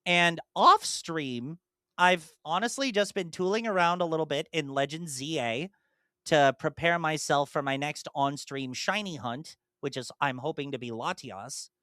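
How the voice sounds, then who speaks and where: A man talks through a casual podcast chat, voice bright and smooth. He rambles with plenty of ums and restarts and slips into animated, mock-fed-up asides. It sounds dry and close, with no room behind it. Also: on the high side, a little slow, no echo. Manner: rambling, many fillers and restarts. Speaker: podcast co-host and streamer.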